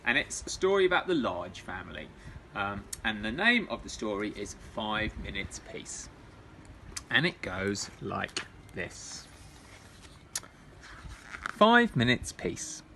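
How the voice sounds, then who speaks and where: A man reading a children's storybook aloud as a storytime reader, in an expressive way. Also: lowish voice, slow, pauses often, slight background noise.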